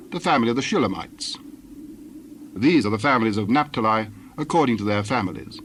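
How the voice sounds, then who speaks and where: A man narrating dramatized scripture in a formal, measured recitation. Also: medium pitch. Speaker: Bible narrator. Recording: clear ambient noise behind narration.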